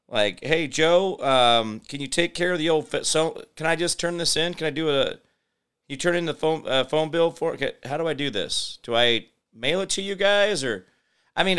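Clear-voiced American man, rambling talk show host. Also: on the high side, a bit bright. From United States. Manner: halting, sardonic, digressive. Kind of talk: rambling off-the-cuff political commentary.

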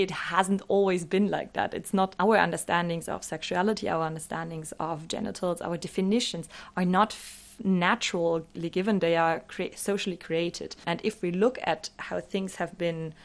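A woman with a slightly low voice, speaking as a native German speaker. She answers as an expert guest in an interview. Her manner is thoughtful and academic as she explains ideas.

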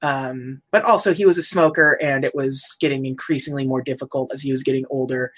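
A woman co-hosting a casual chat about old cartoons, chatty and quick to laugh, looking things up as she talks. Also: a bass voice, fairly even intonation, fairly bright tone, slightly rough. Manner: chatty, laughs often, looks things up aloud. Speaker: podcast co-host.

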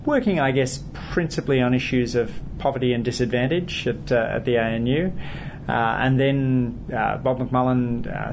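A gravelly-voiced man in his forties answers interview questions, pausing often with hesitant fillers. He speaks in a measured, thoughtful way. A steady low rumble runs under the recording. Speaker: politician.

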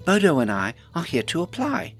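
A man puts on a spirited hobbit lass from a fantasy storybook. The voice is high and lively, with a chatty, coaxing manner. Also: moderate pace, soft background music. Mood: brisk, eager. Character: spirited young female hobbit adventurer, breezy and persuasive.

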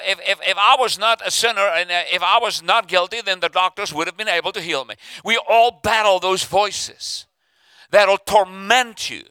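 Fervent preacher. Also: male voice, high voice, pushed and bright, smooth, no echo. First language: Afrikaans. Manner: fervent, calls for responses, acts out inner voices. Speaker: pastor. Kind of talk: sermon.

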